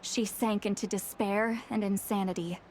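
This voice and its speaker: An actress voicing a mischievous sorceress who calls herself old Granny, speaking in a dry, teasing, theatrical way. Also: female voice, mid-range voice, steady tone, moderate pace, darkish tone, a little hiss or hum, natural voice. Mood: wry. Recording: game soundtrack music behind.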